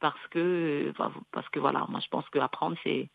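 A woman whose first language is Bassa, a singer and music school founder, speaking reflectively in a radio interview with frequent hesitations and restarts. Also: steady tone, moderate pace, vocal fry, dry recording, phone-quality sound.

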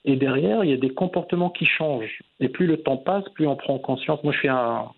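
Gravelly-voiced man in his forties. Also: medium pitch, a little fast, narrow, tinny audio. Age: 40s. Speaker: interview guest, entrepreneur. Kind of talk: reflective conversational interview answers.

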